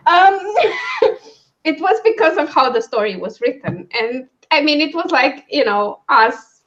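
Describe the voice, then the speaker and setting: A woman chatting casually about books as a co-host, in an animated, self-interrupting way. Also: high voice, measured pace, muffled recording. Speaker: co-host of a book discussion. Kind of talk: casual book chat.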